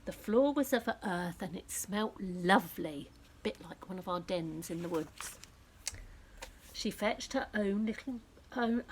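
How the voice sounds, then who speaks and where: A woman reading a picture book aloud to young children, speaking directly to them in an expressive storytelling style that often drops to a hush. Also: medium pitch, a little hiss or hum, rough recording. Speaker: children's story reader and presenter.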